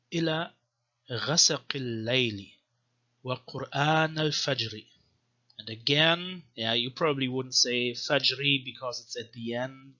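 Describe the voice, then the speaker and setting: A man giving an explanatory language lesson, reading text samples aloud in a careful, hesitant, word-by-word manner with pauses. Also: mid-range voice, sharp tone, muffled recording. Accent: non-native Arabic accent when reading Arabic. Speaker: language teacher, presenter of a video series.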